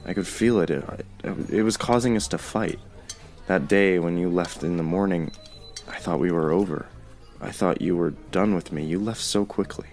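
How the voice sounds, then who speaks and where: An actor playing a remorseful young man pleading with his ex-boyfriend in an audio drama, halting and earnest. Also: sits low, darkish tone, faint music behind, lightly acted.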